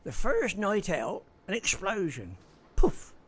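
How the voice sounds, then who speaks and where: Evasive shipping manager played by a man, slow and halting, pitch swooping around. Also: wildly swooping pitch, a bit bright, husky, exaggerated voice. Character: evasive middle-aged male shipping manager. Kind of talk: amateur radio drama acting.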